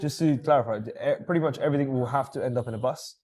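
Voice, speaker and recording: A male interviewer in casual chat, responding with enthusiastic quick affirmations and clarifying questions. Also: medium pitch, darkish tone, faint music behind.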